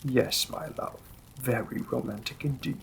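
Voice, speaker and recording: A man's voice: medium pitch, gravelly, slight background noise. A man voicing a devoted demon king in a romantic fantasy roleplay, speaking intimately and formally with teasing, possessive affection. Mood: fond, amused. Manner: slow, intimate, formal wording, gently amused.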